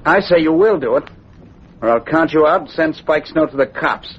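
An actor playing a bullying, smug gambling boss in an old radio crime drama, curt and threatening. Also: male voice, a little high, expressive, moderate pace, slightly rough. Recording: muffled, noisy old radio recording.

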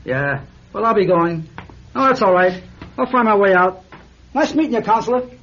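A man acting a shrewd, laconic police officer in a radio drama, husky and dryly wry. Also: high-pitched, expressive, normal speed, fairly bright tone, slight background noise. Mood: probing. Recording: dull, lo-fi radio recording.

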